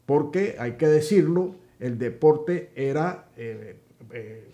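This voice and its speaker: A man's voice: fairly high pitch, animated, takes it slowly, mellow. A man co-hosting a political commentary show, explaining in a hesitant way with many fillers. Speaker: co-host.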